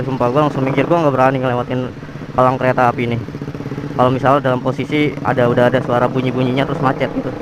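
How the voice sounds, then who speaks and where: A young man, chatty and slangy, narrating a vlog while riding, his voice nasal and congested from a cold. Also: medium pitch. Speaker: motovlogger. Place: on a motorcycle in city traffic. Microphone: helmet or action cam mic. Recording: wind and traffic noise.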